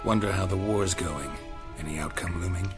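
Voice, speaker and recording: A man's voice: low-pitched, normal speed, natural voice. A man playing a stoic, world-weary monster-hunting swordsman in a video game, speaking in short, dry, clipped sentences. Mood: probing, dry. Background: loud background music.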